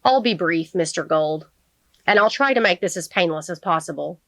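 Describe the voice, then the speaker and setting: A woman reading the part of a courteous male defense attorney, questioning a witness gently and formally as in a courtroom novel. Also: fairly low pitch, lively intonation, moderate pace, dry recording. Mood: gentle.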